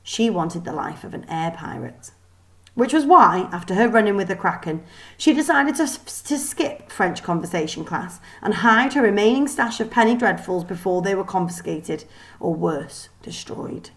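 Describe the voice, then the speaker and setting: A woman reading a children's novel aloud as expressive scripted narration. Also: medium pitch. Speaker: storybook reader.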